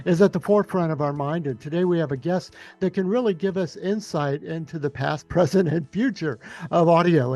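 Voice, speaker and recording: A man's voice: fairly high pitch, warm tone. A man hosting an interview podcast in a chatty, conversational way. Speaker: podcast host.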